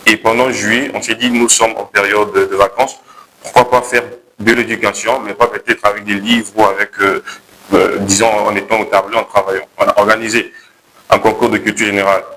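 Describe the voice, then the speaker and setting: A young man with a Congolese accent pitching his project to a jury, hesitating and correcting himself as he goes. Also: little pitch movement, brisk, bright, forceful tone, a little hiss or hum, poor audio. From Republic of the Congo. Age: young adult. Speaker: contest candidate pitching a project.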